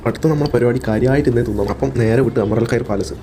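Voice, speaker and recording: A man narrates a casual vlog in a Malabar Malayalam accent. He talks quickly in a relaxed, mellow voice, joking in slang with his viewers as if they were friends. There is faint noise in the background. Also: mid-range voice. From Kerala, India. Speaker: vlogger.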